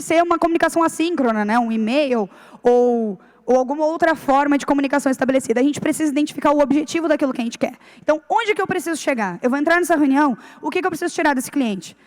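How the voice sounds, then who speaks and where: High-voiced woman speaker in hall. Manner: explanatory, frequent "né" tag questions. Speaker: conference speaker. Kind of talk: conference talk. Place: large conference hall. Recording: long hall reverb.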